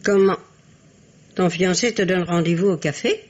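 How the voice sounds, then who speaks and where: A woman playing a stern but fond aristocratic old grandmother in a radio drama, speaking in a formal, admonishing, imperious way with a husky voice. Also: on the low side, normal speed, darkish tone, lightly acted. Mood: guarded. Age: older. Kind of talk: French radio drama acting. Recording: dull, muffled old radio recording.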